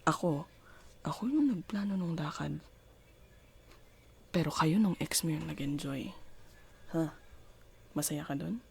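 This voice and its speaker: A woman's voice: deep voice, animated, a little mellow, a little hiss or hum, heavily acted. A woman with a Filipino accent playing a jealous, domineering girlfriend in an intimate ASMR roleplay, questioning and commanding in mixed Tagalog and English. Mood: hurt, accusing. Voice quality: husky, breathy. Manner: slow deliberate questioning, commanding, switching between English and Tagalog. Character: jealous, domineering girlfriend scolding her partner.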